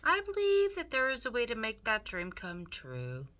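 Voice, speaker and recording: A courtly, scheming male rat from a storybook, played by a woman reader. The delivery is formal and flattering, swooping theatrically through its phrases with a bright, forceful edge. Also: a little high, normal speed, pitched above the performer's own voice, full character voice. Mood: persuasive, scheming. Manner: theatrical, formal, ingratiating speech. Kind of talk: storybook character read aloud.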